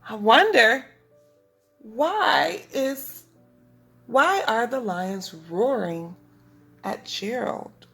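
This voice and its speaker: A woman teaching preschoolers, reading a picture book aloud in an instructive way, speaking clearly to children and asking them questions. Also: on the high side, lively intonation, drawn-out words, long pauses, fairly bright tone, faint music behind. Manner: instructive, asks the listeners questions, enunciates for children. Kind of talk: read-aloud lesson for young children.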